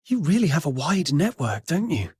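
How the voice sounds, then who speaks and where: A determined young hero from a video game, calm and understated. The voice is on the higher side and very husky, recorded dry. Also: male voice, moderate pace, no echo, full character voice. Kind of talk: video game cutscene voice acting.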